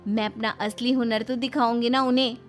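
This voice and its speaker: Woman acting high-pitched, swaggering young daughter-in-law. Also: fairly even intonation, brisk, soft background music, lightly acted. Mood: boastful, stubborn. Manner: theatrical, boastful. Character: swaggering, stubborn young daughter-in-law obsessed with a film hero. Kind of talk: Hindi cartoon story voice acting.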